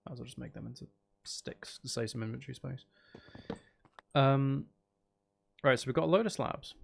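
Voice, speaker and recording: A man's voice: mid-range voice, moderate pace, vocal fry, breathy edge. A man giving casual live gameplay commentary, rambling and thinking aloud as he goes. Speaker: gaming streamer. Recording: faint background noise and clicking.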